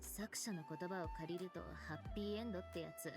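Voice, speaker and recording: A woman voicing a fast-talking storytelling game girl. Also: fairly low pitch, close to an everyday voice. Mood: recounting. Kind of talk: dubbed game dialogue. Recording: game audio with music behind.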